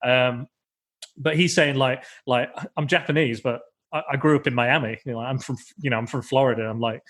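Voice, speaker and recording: Male co-host, mid-pitched, casual and rambling. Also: steady tone, brisk, fairly bright tone. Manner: rambling, many restarts and ums. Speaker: podcast co-host. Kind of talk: casual film chat.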